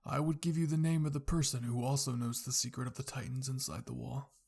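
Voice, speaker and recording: Grave, secretive male pastor for anime fan fiction, put on by a narrator; gravelly, rough-edged. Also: mid-range voice, steady tone, a little fast, a little mellow.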